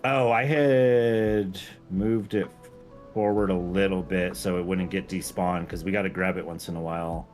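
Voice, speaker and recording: Man, low voice, enthusiastic game modder in loose, rambling stream chat, music behind. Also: steady tone, moderate pace, a little mellow. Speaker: game mod creator guesting on a stream. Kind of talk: casual gaming stream chat.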